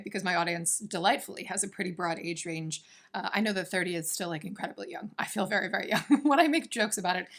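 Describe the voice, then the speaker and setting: A woman of thirty talking casually to camera in a vlog, chatty and self-deprecating, with quick asides. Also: mid-range voice, a bit bright. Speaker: vlogger and graduate student. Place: apartment in New York.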